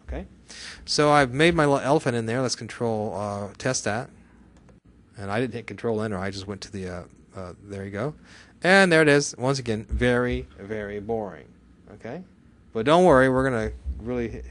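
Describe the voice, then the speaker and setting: Male software instructor, mid-pitched, chatty and informal, quizzing as he demonstrates step by step. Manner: chatty, asking and answering his own questions. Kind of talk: live software tutorial to a class.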